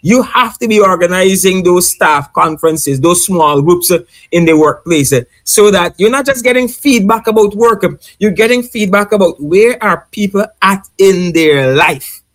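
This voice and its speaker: A man speaks emphatically in a casual panel discussion, repeating key points and checking in with listeners. His voice sits a bit higher than most men's. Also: lively intonation, normal speed.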